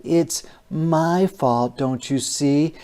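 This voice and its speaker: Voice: mid-range voice, very slow, warm tone, pitched above the performer's own voice. A storybook reader voicing a small, brave little sister, apologetic and pleading earnestly.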